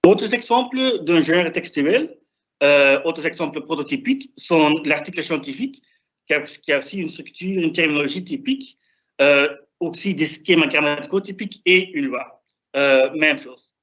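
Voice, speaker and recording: A young man who is not a native French speaker, with a non-native accent, giving a halting, didactic academic presentation over a video call. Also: on the high side, animated, a bit bright. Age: young adult. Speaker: doctoral researcher presenting. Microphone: video call, presenting remotely. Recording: dull, band-limited online call audio.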